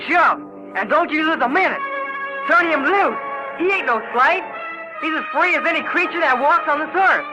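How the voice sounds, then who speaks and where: A man playing a bold, excitable Southern boy in an audio drama, eager and insistent. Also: very high voice, normal speed, music in the background, poor audio. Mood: indignant. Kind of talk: audio theater acting.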